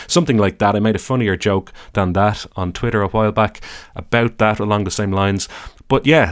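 A man's voice: sits low, dry recording. A man with an Irish accent and a soothing voice, hosting a podcast in an easygoing, rambling monologue with dry, self-deprecating humour. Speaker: podcast host. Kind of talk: casual solo podcast monologue.

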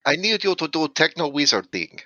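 A man's voice: on the high side, big pitch swings, brisk, bright, forceful tone, dry recording, lo-fi sound, exaggerated voice. A man voicing a blunt, pushy Russian woman mercenary who speaks broken English.

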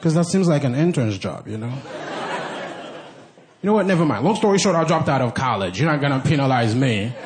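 A man from Haiti with a Haitian accent, performing stand-up comedy with animated delivery and acted-out voices. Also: brisk, background noise. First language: Haitian Creole. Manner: animated, acts out other voices with big pitch jumps. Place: comedy club stage with a laughing audience. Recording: live club audience laughter.

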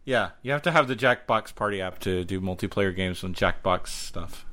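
Bright-voiced man co-hosting a casual podcast. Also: low voice, moderate pace. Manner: jokey, playful asides. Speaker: podcast co-host. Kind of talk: casual announcements and banter.

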